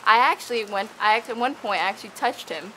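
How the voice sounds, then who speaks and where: A woman's voice: on the high side, rough recording. A young woman from the Dominican Republic, a student researcher, talking eagerly to camera in a casual interview outdoors among tropical plants. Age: young adult. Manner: eager, bubbly, quick restarts. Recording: steady rain noise behind.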